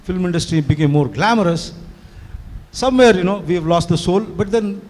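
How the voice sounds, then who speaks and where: A man's voice: sits high, expressive, brisk, darkish tone, slight background noise. A man of sixty whose first language is Tamil, chatting to an audience at a live event, rambling fluently with many "you know"s. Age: 60s. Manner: rambling, fluent, mixes Hindi and English, frequent "you know". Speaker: event organizer and speaker. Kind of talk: conversational talk to an audience. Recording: long hall reverb.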